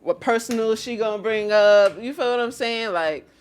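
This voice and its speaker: A woman's voice: on the high side, moderate pace, clean tone. A young woman, a guest battle rapper talking casually and slangily about her start. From New York. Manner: laid-back, slangy, keeps asking "you feel what I'm saying". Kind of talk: casual interview chat.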